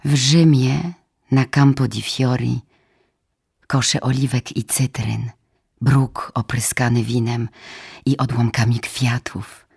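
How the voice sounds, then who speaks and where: A woman with a low, husky, breathy voice reads poetry in a solemn, dramatic way, phrasing deliberately in short measured lines. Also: fairly even intonation, a little mellow. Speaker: poetry reader. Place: radio theatre studio.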